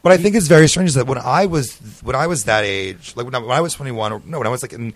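A man's voice: medium pitch, fast, dry recording. A man in his twenties chatting casually and comedically, telling stories emphatically with profane quips. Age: 20s. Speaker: talk show panelist.

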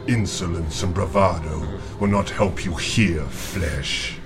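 A man's voice: deep voice, takes it slowly, over music. An actor playing a stern, devout male keeper of a demonic order.

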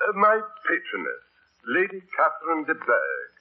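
On a narrow, old radio broadcast, a pompous clergyman, stiff and self-important. His husky, highish voice swings up and down through formal, halting phrases, in 1940s radio drama manner. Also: huge pitch swings, exaggerated voice. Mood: pompous, earnest. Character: pompous, stiff clergyman clumsily proposing marriage. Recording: band-limited vintage 1940s radio broadcast.

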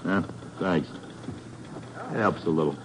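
A man's voice: deep voice, unhurried. An actor playing a rueful, defensive American construction supervisor in a 1950s radio drama, talking tough, regular-guy slang. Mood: subdued. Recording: noisy old radio recording.

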